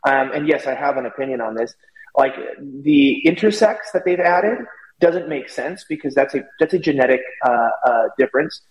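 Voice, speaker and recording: A man's voice: medium pitch, lively intonation, normal speed. A man giving casual, opinionated commentary as a talk show guest, explaining at length in a rambling way. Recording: echoey room with long reverb.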